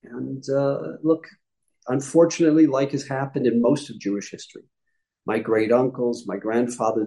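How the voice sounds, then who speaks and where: Mellow-voiced man recounting family history. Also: mid-range voice, gravelly, rough recording. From upstate New York. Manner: explanatory, with frequent self-corrections and asides. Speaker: guest rabbi. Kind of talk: conversational interview answers.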